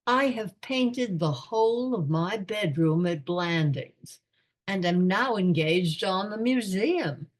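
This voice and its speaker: Radio comedy role: genial, forgetful elderly aristocrat played by a woman, pompous musings, unhurried delivery, slightly low register. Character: doddering, absent-minded elderly English lord, genial, rambling. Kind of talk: radio play comedy acting.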